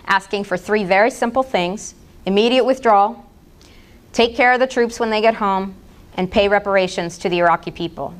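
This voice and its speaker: A woman's voice: medium pitch, clear, resonant tone. A middle-aged woman from the United States giving a public lecture in an impassioned, direct way. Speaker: speaker.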